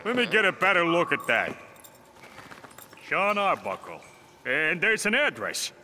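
A plain-spoken working-class dogcatcher in a cartoon. He talks bluntly and folksily, and his voice rises and falls a lot. It sits a little above the usual male pitch. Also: big pitch swings, a little slow, pushed and bright, slight background noise, full character voice. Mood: matter-of-fact. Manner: blunt, folksy, says "yous". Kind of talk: cartoon voice acting.

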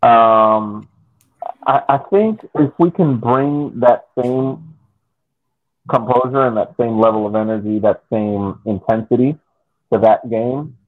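A man's voice: fairly low pitch, creaky, husky. A man giving casual, explanatory soccer analysis as a podcast panelist over a video call. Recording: phone-like video call audio.

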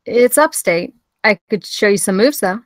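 Woman acting teenage girl, low-key, casual, medium pitch, dry sound, drama script read. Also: lively intonation, normal speed, no echo, close to an everyday voice.

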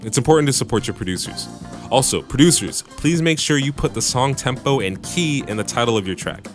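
A man with a bassy voice casually narrating a home recording tutorial, with joking asides, on a studio mic in his home room. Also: expressive, fairly quick, faint music behind. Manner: laid-back, joking asides. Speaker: music producer and YouTube tutorial host. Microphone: studio microphone through audio interface.

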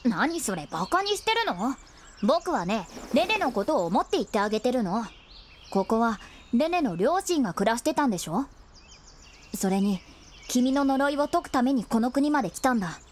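A woman playing a cheeky but caring young boy companion in a voice drama, boyish, casual and expressive. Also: a little high, moderate pace, a little hiss or hum. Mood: scolding, caring. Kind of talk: Japanese voice drama acting.

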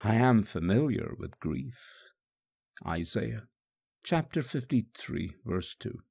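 Man reading as a sorrowful, tender holy man facing execution, very slow, husky, grave storybook monologue, reflective. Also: lowish voice, sing-song, dark tone, heavily acted. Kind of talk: solemn first-person dramatic monologue.

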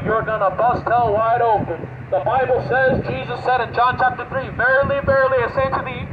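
A young man street preaching through a megaphone beside a busy highway, urgent and pleading as he quotes scripture. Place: roadside by a busy highway outdoors. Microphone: handheld megaphone, camera a few meters off. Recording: muffled outdoor recording with loud traffic. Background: loud passing traffic.

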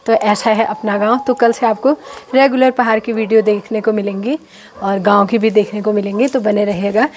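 A woman's voice: medium pitch, fairly even intonation, a little fast. A woman, chatting casually to camera as a vlogger. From Uttarakhand hills, India. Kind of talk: casual vlog chat to camera. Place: mostly outdoors, roadside and village. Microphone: phone at arm's length or clip-on lapel. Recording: faint outdoor background noise.

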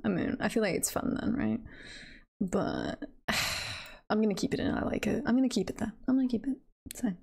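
A woman's voice: mid-range voice, lively intonation, normal speed, vocal fry, pauses often, darkish tone, breathy edge. A woman streamer chatting casually on a livestream, musing in a playful, giggly, hesitant way. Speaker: streamer and voice performer.

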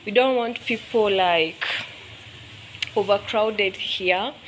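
Kenyan woman showing off a salon, talks slow and chatty, filming on her phone, faint room noise. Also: mid-range voice, poor audio. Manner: chatty, mixes Swahili phrases into English. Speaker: salon tour guide. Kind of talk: walking tour vlog. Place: salon and barbershop interior.

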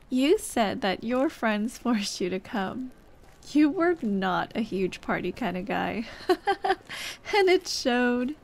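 Woman acting slow-talking, caring ghost girlfriend. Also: on the high side. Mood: fondly nostalgic. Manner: tender, coaxing, soft-hearted scolding. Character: caring, gently nagging dead girlfriend's ghost. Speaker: roleplay voice actress. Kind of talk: ASMR roleplay drama.

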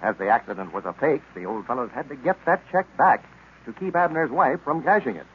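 An American man announcing in a scripted 1930s radio style, as for a sponsor pitch or story introduction. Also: lively intonation, brisk. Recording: muffled, noisy vintage radio recording.

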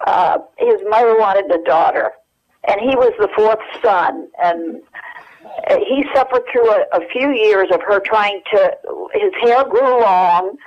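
An older American woman reminiscing as an interview guest, telling anecdotes haltingly over a telephone. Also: medium pitch. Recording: dull lo-fi telephone hook-up.

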